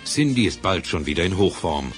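A man's voice: sits low, big pitch swings, fairly quick, over music. A man giving dramatic scripted narration for a German radio play.

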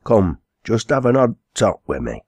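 A man's voice: on the low side, big pitch swings, normal speed, a little mellow, breathy edge, no echo, clean studio sound, voice pushed up. A man voicing a quarryman in a storybook, dreamy and sociable, plain-spoken, with a Northern English accent. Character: musing, sociable quarryman fond of his drink.

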